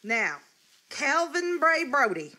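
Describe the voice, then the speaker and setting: A folksy, scolding sister in live radio comedy. Her voice is high and bright, with very lively, emphatic swings. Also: slow pace, full character voice. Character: Lily Bell, indignant scolding small-town sister. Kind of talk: live comic radio theatre acting.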